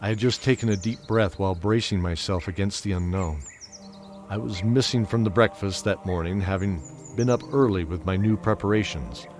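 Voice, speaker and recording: Old holy knight narrator, male actor, low and solemn, first-person fantasy storytelling over prominent music. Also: normal speed, darkish tone, lightly acted. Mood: solemn, reflective. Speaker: narrator and actor of an audio drama. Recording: background music throughout.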